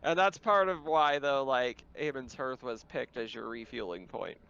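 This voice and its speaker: A man talking casually as game master, explaining things in a halting way over dull, noisy voice-chat audio. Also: medium pitch, creaky, a bit bright. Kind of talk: casual tabletop game talk.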